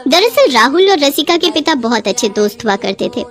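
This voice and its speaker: A woman narrates a scripted drama as a storyteller. Her voice sits high, and her delivery swings widely in pitch from phrase to phrase. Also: very animated, brisk.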